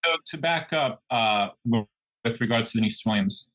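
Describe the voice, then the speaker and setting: A man co-hosting a true crime podcast, telling the story conversationally in a halting way, often backtracking to correct himself. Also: mid-range voice, expressive, a bit bright, low-quality recording.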